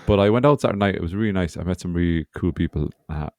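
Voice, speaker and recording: A man's voice: deep voice, racing, darkish tone, no room sound, clean studio sound. An Irish man in his forties, co-hosting a podcast with rambling, sweary, joking chat, sounding hungover. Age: 40s. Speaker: podcast co-host. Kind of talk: casual banter.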